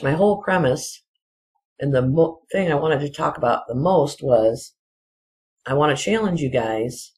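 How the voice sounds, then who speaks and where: Casual solo commentator, medium pitch, unhurried and halting, insistent repetition, rambling delivery. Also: a little mellow. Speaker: video commentator.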